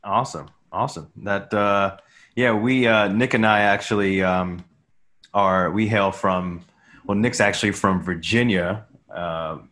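A man's voice: fairly low pitch, measured pace, fairly bright tone. A man co-hosting a podcast, asking long rambling questions full of fillers. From United States. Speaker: podcast co-host. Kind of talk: casual podcast interview.

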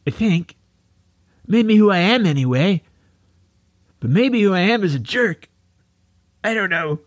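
A man voicing an awkward boy bully with a high, raspy voice in a children's audiobook. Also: animated, measured pace, no room sound, studio quality. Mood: sheepish, tearful.

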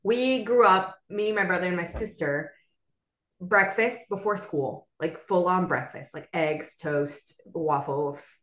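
A young adult woman from South Florida, a bilingual Spanish and English speaker, telling her story as a podcast guest in an animated, chatty way. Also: lowish voice, slow pace, roomy sound. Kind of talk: casual conversational storytelling.

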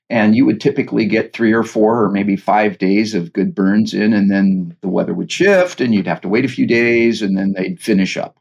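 A man in his sixties with a West Coast US accent, from the Pacific Northwest, telling a story in a relaxed, rambling way and repeating words as he thinks. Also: lowish voice. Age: 60s. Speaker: guest expert. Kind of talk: casual conversational storytelling.

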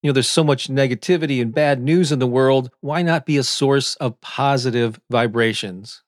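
A man reading scripted motivational affirmations as a podcast host, in a deliberate, encouraging manner. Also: medium pitch. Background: new-age music.

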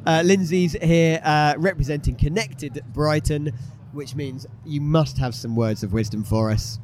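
A man with a slightly hoarse, fairly high voice and an eager, enthusiastic manner. He hosts quick interviews at a business show, and a faint background noise is heard. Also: a little mellow. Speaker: host interviewing exhibitors. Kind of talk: upbeat vox-pop interviewing. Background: exhibitors packing up.

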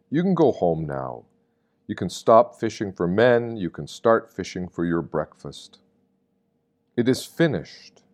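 A man reading a scripted devotional meditation in a measured, solemn and reflective manner. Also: on the low side, a little mellow. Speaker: reader of meditations.

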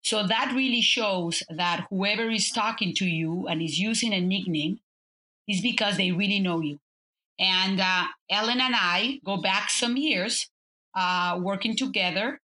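A bright, clear-toned woman in her fifties with a Mexican Spanish accent, her first language being Spanish. She tells her story warmly and loosely, pausing on "uh" and "um" and restarting her phrases as she goes. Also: medium pitch. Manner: warm, storytelling, many fillers and restarts. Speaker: guest, business consultant. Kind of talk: conversational interview.

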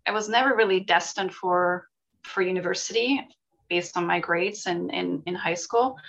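A woman's voice: mid-range voice, gravelly, a bit bright, highs cut off. A middle-aged woman from Switzerland answering interview questions thoughtfully, with frequent fillers and restarts. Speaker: guest, volunteer charity leader.

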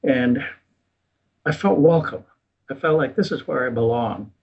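An older man talks with a husky, slightly rough voice, easygoing and ready to laugh at himself. He tells his story unhurried, as a guest in conversation. The recording sounds dull and lo-fi. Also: medium pitch. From United States. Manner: relaxed, self-deprecating, chuckles at himself. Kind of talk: casual interview storytelling.

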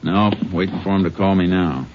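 An actor playing a stern, methodical American Texas Ranger, laconic and matter-of-fact, in a 1950s radio western. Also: male voice, deep voice, fairly even intonation, a little fast, natural voice. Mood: grim, matter-of-fact. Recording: muffled, slightly noisy 1950s radio recording.

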